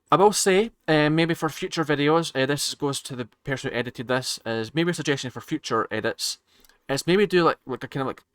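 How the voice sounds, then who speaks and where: A man giving casual reaction commentary as a channel host, halting and rambling as he circles back to repeat himself. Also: mid-range voice, fairly bright tone, dry recording, studio quality.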